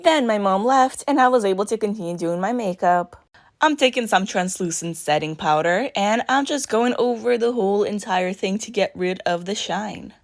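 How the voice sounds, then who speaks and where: A young woman with a raspy voice, narrating a makeup tutorial in a chatty voice-over with storytelling asides. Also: mid-range voice, normal speed. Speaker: makeup vlogger.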